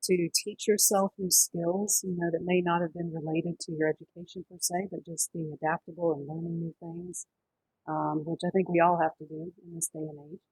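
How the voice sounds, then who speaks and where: A woman's voice: on the low side, level tone, moderate pace, darkish tone, slightly rough, poor audio. A woman moderating an online career session as host, politely and informally, over a video call.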